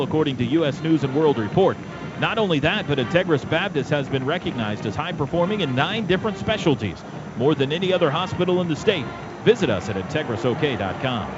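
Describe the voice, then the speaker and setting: An American man calling live football on radio as play-by-play announcer, in short clipped present-tense bursts. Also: fairly high pitch. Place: stadium radio booth. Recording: dull, noisy stadium radio broadcast. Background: clear outdoor stadium background noise.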